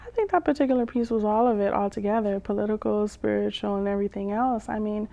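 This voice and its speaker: A woman poet and vocalist performing spoken-word poetry with drawn-out phrases, pauses and hums, some lines half sung. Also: mid-range voice, gravelly, warm tone. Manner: slow, drawn-out delivery with long pauses and hums. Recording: dull lo-fi sound.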